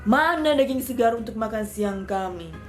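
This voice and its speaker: A woman's voice: a little high, lively intonation, normal speed, faint music behind, natural voice. A woman voicing a gullible, hungry crocodile in a children's storybook, blunt and naive. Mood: indignant. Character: gullible, hungry crocodile, grumpy but trusting.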